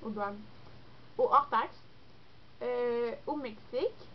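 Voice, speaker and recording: A woman's voice: on the high side, takes it slowly. A young woman with a Québécois French accent, congested with a cold, chatting casually and rambling with lots of fillers in a vlog. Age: young adult. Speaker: beauty vlogger. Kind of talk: casual chat to camera. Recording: dull lo-fi recording with faint noise.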